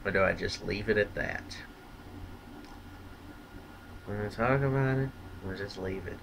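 A man's voice: on the low side, brisk, gravelly, background noise. A man delivering a blunt, profane, contemptuous opinion rant as a solo vlogger.

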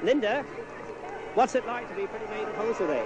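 A man's voice: sits high, brisk, crowd noise. A man working as a radio reporter, doing live interviews outdoors at a fair. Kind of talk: live outside broadcast interviewing. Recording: outdoor fair tape with background music.

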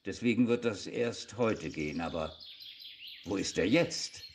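A man's voice: fairly low pitch, lively intonation, unhurried. An actor playing a shifty, irritable male schoolteacher in a radio play. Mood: apologetic, scheming. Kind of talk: hobby German radio play acting. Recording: faint noise with birdsong.

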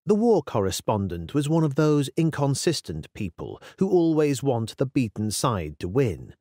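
A man's voice: medium pitch, wildly swooping pitch, moderate pace, dark tone, no room sound, clean studio sound. A man with an English accent reading literary fiction in a scripted audiobook style. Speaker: audiobook narrator.

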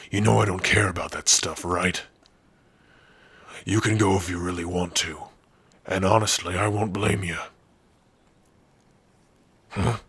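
A man playing a big, gruff orc with a rough, husky voice, teasing yet secretly soft-hearted, in an ASMR roleplay. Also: low-pitched, dry recording, full character voice. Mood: gruff, wry. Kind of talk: ASMR roleplay addressed to the listener.

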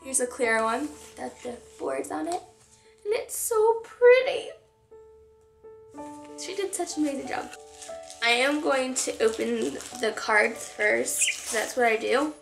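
A young woman with a hoarse, fading voice, chatting excitedly to camera from her couch as a vlogger. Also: high-pitched, a little slow, echoey room, faint music behind, rough recording. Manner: gushing, excitable. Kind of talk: casual chat to camera. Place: living room, on a couch.

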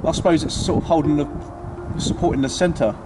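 A man's voice: on the high side, brisk, loud music behind the voice, low-quality recording. A man, exploring an overgrown site outdoors and commenting casually to an arm's-length camera, with plenty of ums and uhs. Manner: chatty, hesitant with many fillers. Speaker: urban explorer vlogger. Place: outdoors in overgrown grounds of an old tower. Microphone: action camera held at arm's length.

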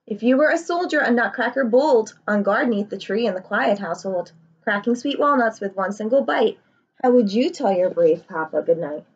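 A woman's voice: a little high, expressive, normal speed, husky. A young woman reading a children's picture book aloud, expressively, putting questions to her young listeners. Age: young adult. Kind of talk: children's read-aloud with asides. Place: living room, indoors. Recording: slightly dull webcam sound.

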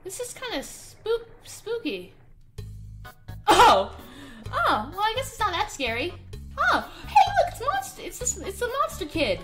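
A woman's voice: very high voice, huge pitch swings, unhurried, fairly bright tone, faint music behind. A woman hosting a gaming video with playful, giggly and enthusiastic commentary. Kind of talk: playful game commentary and roleplay.

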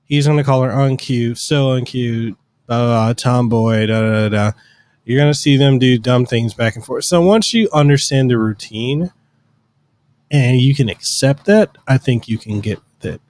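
A man's voice: mid-range voice, moderate pace, a little mellow. A man co-hosting a podcast, talking casually and rambling through long explanations with fillers, on a studio mic at home. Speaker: podcast co-host. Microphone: studio mic on arm, headphones.

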